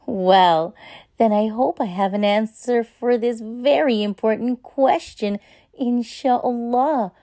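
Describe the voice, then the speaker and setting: Woman playing a slow, tender storybook mother. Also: a little high, dark tone. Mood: warm, attentive. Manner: tender, measured. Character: gentle, loving, reassuring mother. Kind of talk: children's storybook character voice.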